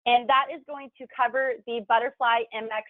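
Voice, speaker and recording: A woman with a slightly high voice presents a tutorial, walking through instructions one step at a time. The recording is dry and narrow, with a thin, telephone-like sound. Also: fairly even intonation, fairly quick, no echo. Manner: clear step-by-step explaining. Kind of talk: instructional video narration. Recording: thin phone-like band-limited sound, dry.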